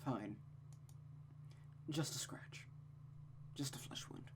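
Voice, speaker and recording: A woman's voice: a bass voice, takes it slowly, whispered. A woman voicing a stoic, weary nobleman with a subdued, evasive manner in a visual novel read-aloud. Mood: dismissive, hushed.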